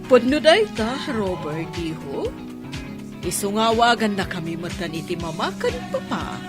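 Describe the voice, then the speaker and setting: A middle-aged woman with an Ilocano accent. She is a composed radio drama mother with lively intonation, set over prominent music. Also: mid-range voice, unhurried. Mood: approving.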